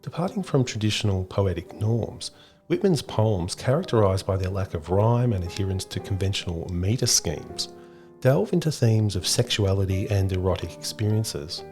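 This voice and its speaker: A man's voice: deep voice, animated, normal speed, darkish tone, soft background music. A man hosting a podcast, reading scripted educational narration. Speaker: podcast host.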